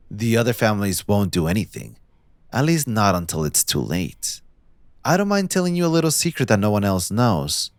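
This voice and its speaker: A man playing a possessive, smug young mafia heir in a scripted audio roleplay, speaking in a theatrical, condescending, deliberate villain's drawl. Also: lowish voice, no room sound, studio quality.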